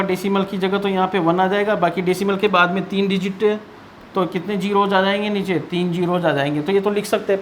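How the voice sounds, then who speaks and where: A man's voice: high-pitched, a little hiss or hum. An Indian man teaching maths in an explanatory lesson style, asking and answering his own questions and repeating key points.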